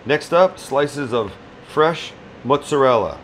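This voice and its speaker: A man's voice: medium pitch, animated, slow pace, soft background music, low-quality recording. A middle-aged man hosting a casual cooking demonstration in a small restaurant kitchen, joking bluntly and swearing freely. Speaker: chef and cooking show host.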